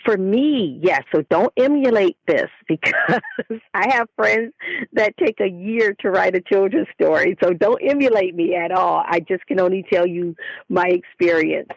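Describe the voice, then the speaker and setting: A woman's voice: lowish voice, moderate pace, gravelly, no room sound, lo-fi sound. A woman speaking as a guest author, giving earnest, explanatory answers in a conversational interview. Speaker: guest, children's book author.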